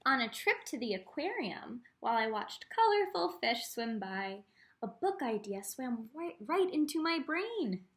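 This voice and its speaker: Female art teacher for a children's read-aloud: fairly high voice, expressive storytelling, speaks straight to the kids. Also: measured pace. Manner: expressive reading, addresses young listeners directly. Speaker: art teacher reading a picture book aloud.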